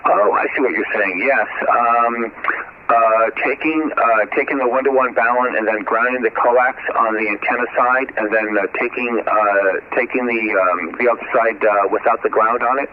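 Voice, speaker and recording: A man working as an amateur radio operator, chatting casually and technically over the air in a halting, thinking-aloud way. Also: mid-range voice, fairly even intonation. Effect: radio transmission. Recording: narrow tinny shortwave radio, clear static noise.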